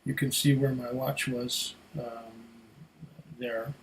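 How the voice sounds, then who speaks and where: A man's voice: mid-range voice, level tone, slow, slight background noise, rough recording. A man with a congested voice talks casually to his camera at home. He sounds tired and rambles with fillers between his thoughts. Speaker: whisky reviewer. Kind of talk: casual vlog chat.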